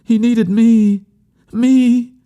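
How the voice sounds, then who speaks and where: A man voicing a grieving, devoted woman in a literary audiobook, pleading and intense in a hushed, drawn-out delivery. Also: very high-pitched, flat delivery, voice pushed up, heavily acted. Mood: fervent, anguished. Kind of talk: dramatic literary audiobook character acting.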